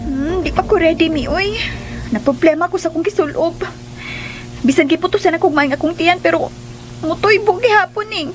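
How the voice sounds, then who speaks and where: Very high, excitable young Filipina. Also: female voice, normal speed, slight background noise. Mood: whiny. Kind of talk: Philippine radio drama acting. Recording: music behind the voice.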